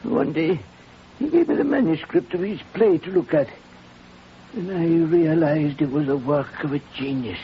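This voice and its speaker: A man playing an old, ailing, remorseful playwright in a 1940s radio drama, confessing slowly and weightily on his deathbed. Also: on the high side, expressive, lightly acted. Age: older. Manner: slow, confessional, weighty delivery. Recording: muffled, hissy old radio recording.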